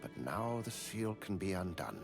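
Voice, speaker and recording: An actor playing a courteous, scholarly keeper of cursed lore in a fantasy video game, speaking in formal, measured, archaic phrases. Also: male voice, low voice, sing-song, vocal fry, over music, heavily acted. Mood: grave, intrigued. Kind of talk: scripted fantasy game dialogue.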